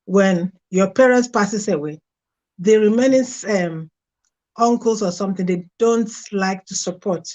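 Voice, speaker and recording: A woman's voice: medium pitch, unhurried, darkish tone. A middle-aged woman from Nigeria with a Nigerian accent, a guest frankly telling her life story in an interview. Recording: dull lo-fi sound.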